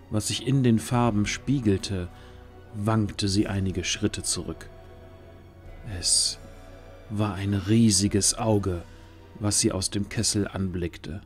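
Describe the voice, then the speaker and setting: A man's voice: on the low side, fairly even intonation, takes it slowly, soft background music. A man narrating a scripted fantasy audio drama as its storyteller.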